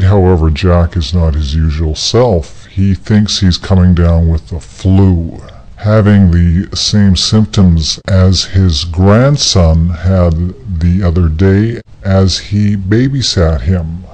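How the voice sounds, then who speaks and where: A man narrating a scripted radio play as a measured storyteller. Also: low-pitched, darkish tone.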